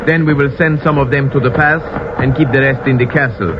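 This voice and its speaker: An actor playing a grave, loyal army lieutenant with formal, earnest, theatrical old-time radio delivery. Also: male voice, medium pitch, fairly even intonation, brisk, natural voice. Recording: muffled, noisy old radio recording.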